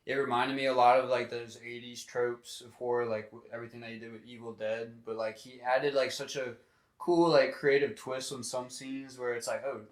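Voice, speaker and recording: A man's voice: medium pitch, level tone, moderate pace. A young man chatting casually on a film review panel, hedging with lots of fillers. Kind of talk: casual group chat. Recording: roomy echo.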